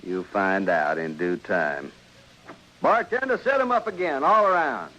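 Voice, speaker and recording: American cowboy bad guy, old radio, sneering, blustery, swingy highish voice, dull hissy sound. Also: expressive, a little slow, bright, forceful tone. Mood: defiant, evasive. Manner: blustering, theatrical, emphatic. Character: belligerent, sneering barroom rabble-rouser, defiant frontier villain. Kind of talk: old-time radio western acting. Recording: muffled vintage radio recording, hissy.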